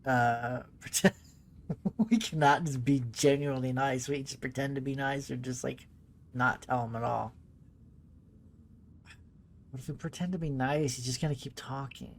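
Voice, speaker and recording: A game player giving casual commentary in a rambling, halting way. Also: medium pitch, steady tone, a little hiss or hum. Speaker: gaming video host.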